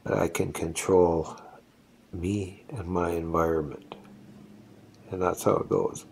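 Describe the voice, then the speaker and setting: Man, 60s, Estonian first language, home vlog on phone: deep, slow, reflective life story with restarts. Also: flat delivery, creaky, darkish tone, slight background noise. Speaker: vlogger. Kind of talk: personal storytelling. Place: indoors, seated on a couch at home. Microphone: phone camera held close.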